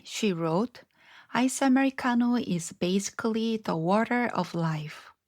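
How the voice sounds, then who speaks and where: A middle-aged woman hosting her podcast, reading scripted narration in a reflective, unhurried way, her voice a little scratchy from illness. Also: medium pitch, a little mellow, no echo, studio quality. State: slightly scratchy voice after strep throat. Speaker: podcast host.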